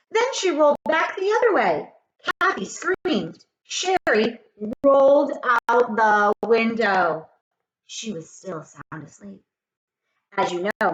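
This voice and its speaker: Woman, children's read-aloud, higher-pitched, very lively intonation, expressive delivery, echoey room. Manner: expressive, addresses children directly. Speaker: teacher reading aloud to children. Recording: roomy echo with faint noise.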